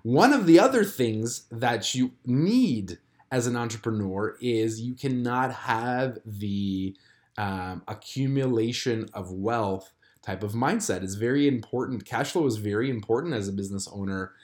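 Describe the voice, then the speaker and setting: Croatian-born guy in his 30s, solo podcast, kinda halting and thoughtful, lots of um and you know. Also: medium pitch, expressive, darkish tone. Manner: reflective, halting with many fillers and restarts. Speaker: podcast host. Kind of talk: candid solo podcast confession.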